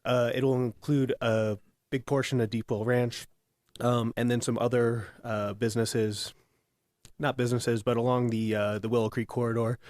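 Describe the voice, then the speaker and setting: A raspy-voiced man answers a commission's questions about water pressure zones. He explains in detail and stops often on fillers. The sound is dry and close. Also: mid-range voice, flat delivery, normal speed, darkish tone, no room sound. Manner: technical, explanatory, many fillers. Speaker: city utilities manager. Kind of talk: answering questions at a public meeting.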